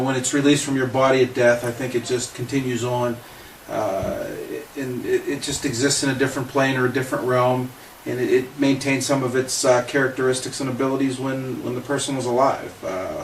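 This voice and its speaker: A man in his forties with an American accent, speaking casually and conversationally in a self-introduction to camera. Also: medium pitch. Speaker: paranormal investigator. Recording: echoey room, distant mic, faint noise.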